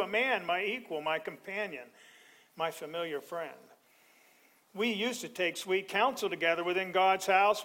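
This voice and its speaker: A middle-aged male pastor preaching a sermon, animated and joking with the congregation. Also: high voice, low-quality recording.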